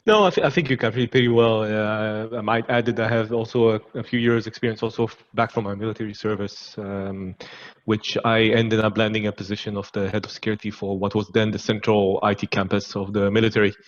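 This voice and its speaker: Low-voiced Israeli-born man on a dull line. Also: fast. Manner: relaxed, chatty, hesitant. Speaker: guest, security executive. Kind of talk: casual interview.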